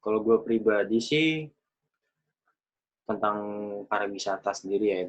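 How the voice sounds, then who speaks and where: A man of about twenty, hosting a casual podcast chat, chatty and quick to laugh. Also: fairly low pitch. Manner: chatty, laughs often, loose slangy talk. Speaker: podcast host. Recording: dull lo-fi recording.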